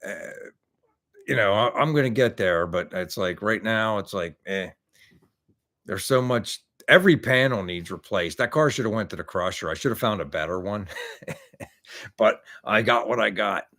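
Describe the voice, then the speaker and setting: A man's voice: on the low side, animated, normal speed, sharp tone. Rambling man in his fifties. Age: 50s. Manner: rambling, many restarts and fillers. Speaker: host of a car hobby livestream. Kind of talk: casual chat answering viewer questions.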